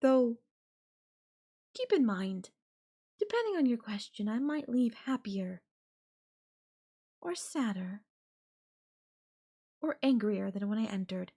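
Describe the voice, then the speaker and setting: A woman's voice: fairly high pitch, very animated, slow, long pauses, dark tone, slightly rough, heavily acted. A woman voicing a possessive yandere girlfriend in an audio roleplay, coaxing and teasing her captive with veiled threat. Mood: quietly threatening. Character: possessive yandere girlfriend, sweetly menacing captor. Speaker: voice actor.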